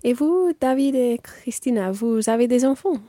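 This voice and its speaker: A sociable French woman played by an actress chats with new friends in a scripted radio play for learners. Her voice is fairly high and very mellow, with a close, dry sound. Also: lively intonation, moderate pace, no echo. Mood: friendly.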